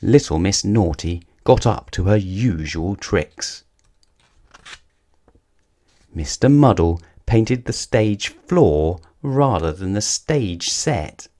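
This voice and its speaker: Slow, deep-voiced man reading a children's story. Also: expressive, warm tone. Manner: deliberate storytelling with dramatic emphasis. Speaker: storyteller reading a children's picture book aloud. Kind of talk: expressive scripted children's story narration.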